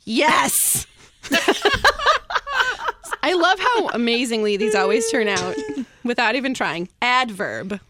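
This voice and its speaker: A woman's voice: on the high side, very animated, takes it slowly, long pauses, background noise. A woman co-hosting a podcast in casual banter, running a word game with a giggly, playful manner.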